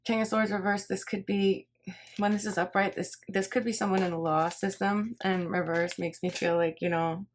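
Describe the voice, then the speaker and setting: A woman's voice: mid-range voice, little pitch movement, moderate pace. A woman giving a casual tarot reading, thinking aloud in a rambling way and often repeating her phrases. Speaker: tarot reader. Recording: clear background noise, card shuffling and clicks.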